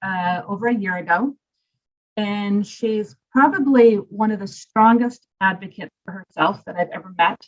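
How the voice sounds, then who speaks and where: A woman hosting a conference, giving an informal spoken introduction in a halting, hesitant way, in dull low-fidelity audio. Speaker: conference host introducing a speaker.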